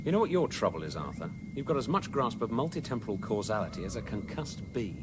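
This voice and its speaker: An actor playing a brisk, sarcastic alien space traveller in a British comic radio drama. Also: male voice, fairly low pitch. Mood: exasperated, dry. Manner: excitable, sarcastic. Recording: noisy sound-effect backdrop.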